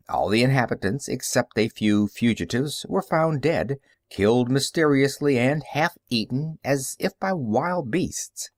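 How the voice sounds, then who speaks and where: A man reading a story aloud as an audiobook narrator, expressive and dramatic. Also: mid-range voice, dry recording, studio quality. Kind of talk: scripted story narration with character dialogue.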